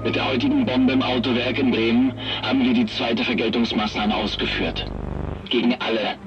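Muffled claim-of-responsibility video actor: menacing man, solemn, deliberate, over very prominent music. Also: mid-range voice, lively intonation, natural voice. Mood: solemn, threatening. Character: solemn, menacing activist reading a threat. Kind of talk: scripted video manifesto. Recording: dull lo-fi video playback, loud backing.

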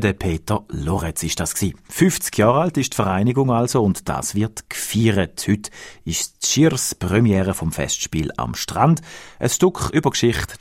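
A man with a Swiss German accent presenting radio news in a lively announcing manner. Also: lowish voice, husky, no echo, studio quality.

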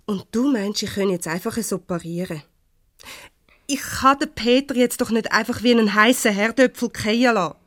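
A woman playing a warm-hearted, defensive divorcee in a Swiss German radio comedy, conversational and a little hesitant. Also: on the high side, normal speed, no echo, studio quality, lightly acted. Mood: indignant, evasive. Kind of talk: naturalistic 1970s radio comedy acting.

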